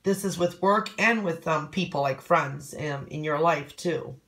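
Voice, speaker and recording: Hoarse low-voiced woman reading coffee grounds. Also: measured pace, a bit bright. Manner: loose and conversational, many "um"s and "okay?"s. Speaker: coffee cup reader. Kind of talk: casual fortune reading.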